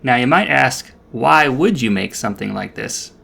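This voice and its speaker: A man's voice: mid-range voice, expressive, moderate pace, gravelly, noisy background. A man narrating a DIY project in a casual, explanatory voice-over with a dry, understated manner.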